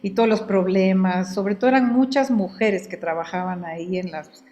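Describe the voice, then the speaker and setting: An older woman from Guatemala, a guest in an interview, telling her life story in an unhurried, conversational way and often repeating words as she thinks. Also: mid-range voice, darkish tone.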